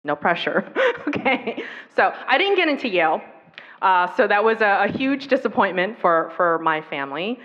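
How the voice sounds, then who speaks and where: A woman's voice: medium pitch, big pitch swings. A woman from the US East Coast whose first language was Taiwanese, giving an earnest, reflective personal talk on violence prevention. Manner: reflective, earnest, with pauses and fillers. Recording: dull recording in an echoey hall.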